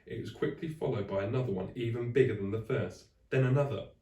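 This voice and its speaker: A man reading a children's story aloud in expressive narration, like a teacher to a class. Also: medium pitch, quick pace, recorded from a distance. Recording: echoey room, away from the mic.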